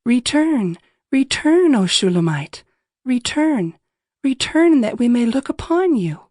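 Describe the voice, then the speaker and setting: A woman's voice: on the high side, very animated, slow, darkish tone, no echo, studio quality, full character voice. A woman playing a curious young woman of Jerusalem, calling out poetic questions in a scripture drama. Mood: beckoning.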